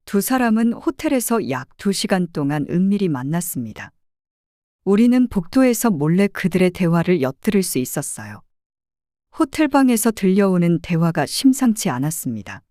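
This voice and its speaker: A woman narrates a dramatized true story as a private detective. Her voice is mellow and warm, with lively pitch movement. The recording is dry and close. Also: normal speed, dry recording. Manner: expressive, storytelling.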